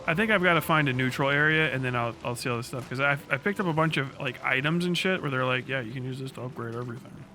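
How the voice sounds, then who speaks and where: A man's voice: mid-range voice, fast talker, few pauses, sharp tone, slight background noise. A man giving casual, crude and sardonic commentary while livestreaming a video game. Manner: crude, heavy swearing, sardonic asides. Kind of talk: casual gaming stream commentary. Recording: game music behind the voice. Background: video game music.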